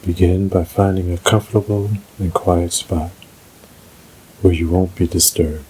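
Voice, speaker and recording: Deep, slow-speaking man guiding meditation. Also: steady tone, darkish tone, slight background noise, rough recording. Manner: soothing, measured, unhurried delivery. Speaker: guided meditation narrator. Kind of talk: slow scripted guided meditation.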